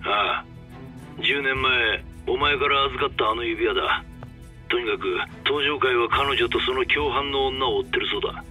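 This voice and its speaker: A man playing a brisk, serious middle-aged caller in a video game, speaking bluntly over a phone. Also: medium pitch, bright, forceful tone, faint music behind. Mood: urgent. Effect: phone call. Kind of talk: dramatic video game cutscene acting.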